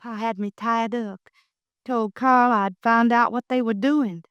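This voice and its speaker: A woman's voice: fairly high pitch, fairly even intonation, unhurried, smooth, no room sound, muffled recording. A frightened young woman. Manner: hushed, plain-spoken, ungrammatical. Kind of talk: 1950s radio drama acting.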